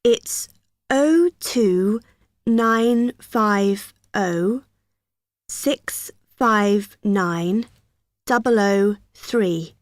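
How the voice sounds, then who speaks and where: An actress playing a friendly student union receptionist who explains a form patiently in a scripted exam dialogue. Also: female voice, medium pitch, very slow, dry recording, clean studio sound. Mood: helpful. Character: cheerful young female union helper.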